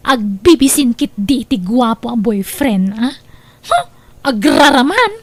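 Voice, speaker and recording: High, sneering Ilocano girlfriend, acted by a woman. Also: sing-song, takes it slowly, dry recording, exaggerated voice. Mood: mocking. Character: jealous, mocking young girlfriend in a scheme. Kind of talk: Ilocano audio drama acting.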